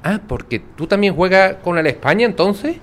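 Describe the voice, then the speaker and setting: A man's voice: high voice, animated, moderate pace, fairly bright tone. A man playing a kindly, curious old grandmother with a Sevillian accent, chatty and affectionate, in an audio drama. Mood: amazed, friendly. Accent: Sevillian Andalusian. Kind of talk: naturalistic audio drama dialogue.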